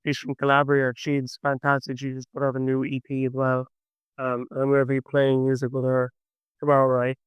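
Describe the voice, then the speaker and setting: A man's voice: medium pitch, completely flat delivery, fairly quick, clear, resonant tone, no echo, poor audio. An Irish man, a young adult folk singer, chatting enthusiastically in an interview and telling stories. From Ireland. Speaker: folk singer-songwriter guest. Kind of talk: casual interview chat.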